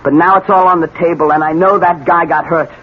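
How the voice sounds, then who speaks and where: An actor playing a scared, resentful young son in a 1970s radio drama. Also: male voice, a little high, steady tone, fairly quick, breathy edge. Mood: frightened. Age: young adult. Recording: tinny, lightly noisy old radio broadcast.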